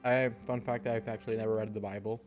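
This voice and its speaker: A man's voice: lowish voice, little pitch movement, quick pace, a little mellow, faint music behind. A man live-streaming a game, reacting casually in a slangy, exclamatory way.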